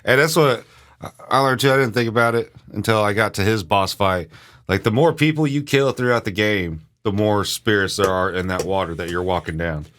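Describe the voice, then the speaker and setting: A man's voice: on the low side, fairly quick, fairly bright tone. A man co-hosting a video game podcast, chatting casually in a terse, matter-of-fact way with offhand swearing. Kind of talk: casual chat about video games.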